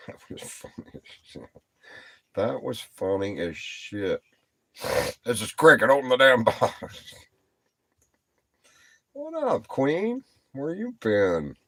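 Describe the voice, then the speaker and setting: A man's voice: lowish voice, fairly bright tone, dry recording. A tired man rambling and swearing on a late-night live stream, lying down as he talks and repeating himself. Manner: talking while lying down.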